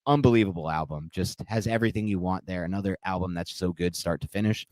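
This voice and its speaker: Enthusiastic man with a lowish voice. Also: fast talker, a little mellow, dry recording. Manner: enthusiastic, laughs often. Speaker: podcast co-host. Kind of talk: casual podcast chat.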